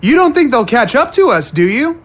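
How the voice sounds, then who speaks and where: Anxious, animated boy, voiced by a woman. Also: high voice, moderate pace. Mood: eager, uneasy. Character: anxious, inexperienced boy, youthful and talkative. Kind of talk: audio drama acting.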